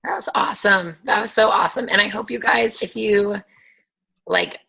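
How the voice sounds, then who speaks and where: A woman's voice: medium pitch, fairly even intonation, normal speed, vocal fry, sharp tone, breathy edge. A woman coach hosting a video team call, talking in a chatty, encouraging way with lots of ums and likes. Her voice is scratchy. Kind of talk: casual motivational team call.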